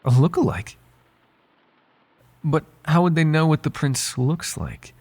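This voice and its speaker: A man playing a devoted, flirtatious butler with a secret in an intimate ASMR roleplay, speaking softly in polite, formal phrases with halting hesitations. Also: a little high, animated, husky. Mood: uneasy curiosity. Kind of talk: ASMR roleplay voice acting, intimate one-sided dialogue.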